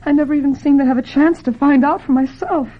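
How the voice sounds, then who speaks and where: An actress playing a vulnerable young film actress in a radio drama, breathy, hesitant and plaintive as she resists pressure. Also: sits high, fairly even intonation, fast talker, lightly acted. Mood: distressed, pleading. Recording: dull, muffled old radio drama recording.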